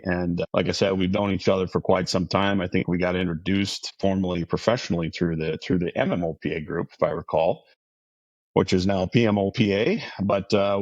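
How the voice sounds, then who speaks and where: A man's voice: deep voice, no room sound, dull audio. A middle-aged man from outside Boston, an aviation insurance guest, chatting casually and affably with many restarts and fillers. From Massachusetts, outside Boston. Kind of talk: casual interview chat.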